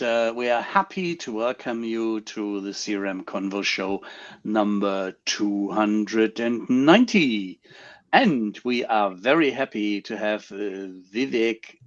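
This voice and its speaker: This is a male show host with a mid-range voice, opening the episode with a formal welcome. He pauses often on ums and uhs. The sound is dull and lo-fi. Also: mid-range voice. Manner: formal welcome, hesitant, many fillers. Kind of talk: casual show introduction.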